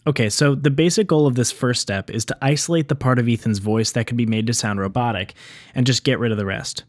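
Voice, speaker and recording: A man hosting an explainer video, reading scripted narration in a clear teaching structure. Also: medium pitch, a little fast, dry recording, clean studio sound. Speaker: video essay host on game sound design.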